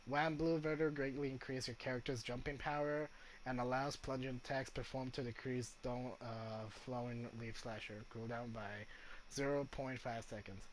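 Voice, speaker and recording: A man giving casual gameplay commentary as a streamer, haltingly reading game text and thinking out loud. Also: mid-range voice, fairly even intonation, slight background noise, low-quality recording. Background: game music.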